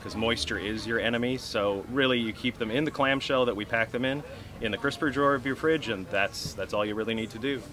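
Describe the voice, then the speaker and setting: A man's voice: mid-range voice, brisk, crowd noise. A man in his thirties who owns a farm, explaining his produce plainly in an outdoor interview. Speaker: farm owner. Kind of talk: interview answers. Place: outdoor market stall.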